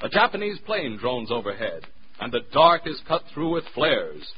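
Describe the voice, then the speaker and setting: A man's voice: mid-range voice, wildly swooping pitch, moderate pace. A man with an American accent narrating as a radio announcer in a solemn, clipped, dramatic 1940s style. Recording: muffled, noisy 1940s radio recording.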